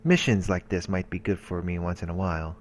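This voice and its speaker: A man with a low voice reads visual novel narration aloud as a let's player, dropping in casual asides. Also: fairly even intonation, a little fast, a little hiss or hum. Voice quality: low-pitched. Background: game music.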